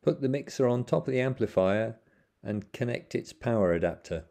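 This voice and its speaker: A man's voice: medium pitch, expressive, normal speed, gravelly, dark tone. A man narrating a plain, methodical how-to voice-over, giving step-by-step instructions with the odd small slip.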